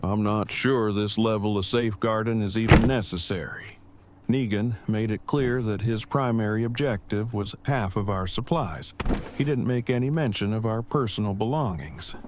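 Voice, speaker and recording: An actor playing a cautious, bookish survivor who speaks formally and wordily in an audio drama. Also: male voice, on the low side, heavy background noise, close to an everyday voice. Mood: cautious doubt. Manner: formal, wordy, measured.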